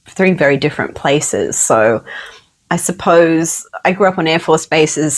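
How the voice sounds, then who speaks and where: Upbeat Australian woman, Gen X. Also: lowish voice. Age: 40s to 50s. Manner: upbeat, laughs often. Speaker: podcast guest. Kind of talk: casual conversation.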